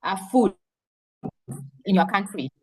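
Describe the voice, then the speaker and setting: A woman's voice: medium pitch, lively intonation, slow, breathy edge. A woman moderating an online summit over a video call, calling on participants one by one and repeating their words back.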